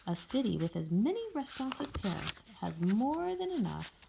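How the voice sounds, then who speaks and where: A woman voicing a knowing, warm-hearted fortune-teller in a storybook, with a musical, teasing way of speaking. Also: medium pitch, very animated, moderate pace, creaky, background noise. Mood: wistful, chatty. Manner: wailing, lilting, teasing.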